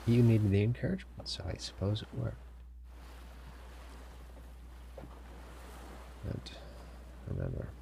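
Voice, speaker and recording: Man playing a deep, gravelly pirate captain. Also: darkish tone, slight background noise, exaggerated voice. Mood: conciliatory. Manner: theatrical, deliberate, formal phrasing with teasing pauses. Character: male pirate captain, sly, commanding, smugly amused. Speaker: audio roleplay performer. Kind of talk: scripted immersive audio roleplay addressed to listener.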